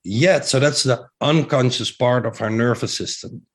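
A man's voice: mid-range voice, normal speed. A middle-aged man with a strong Dutch accent, a guest talking about his breathing work in an eager, rambling way with many restarts. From Netherlands. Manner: enthusiastic, with frequent restarts and fillers. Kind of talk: casual interview talk.

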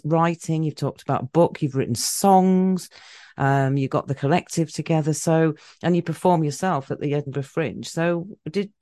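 A woman's voice: deep voice, a little mellow, dry recording. A woman hosting a radio interview in a casual, chatty way, with many fillers and restarts. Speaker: radio host.

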